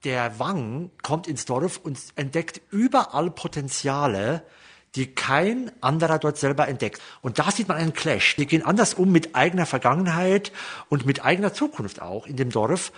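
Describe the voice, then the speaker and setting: Husky male novelist, reflective. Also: on the high side, lively intonation, moderate pace, fairly bright tone. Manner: reflective, explains his writing process. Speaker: novelist discussing his book. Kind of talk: casual panel discussion.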